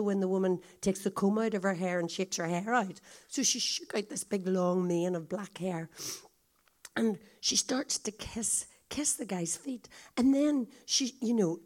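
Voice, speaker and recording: Croaky-voiced woman telling a story. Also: mid-range voice, a little mellow. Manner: chatty, confiding storyteller. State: hoarse, coughing. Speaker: visiting guest speaker. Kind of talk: storytelling talk to a congregation.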